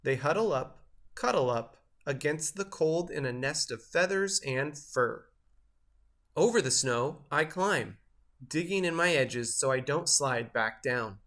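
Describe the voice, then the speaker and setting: Guy narrating a kids storybook, bright clear-cut voice, takes it slow, careful phrasing. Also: on the high side, expressive. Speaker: storybook reader. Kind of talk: expressive children's read-aloud narration.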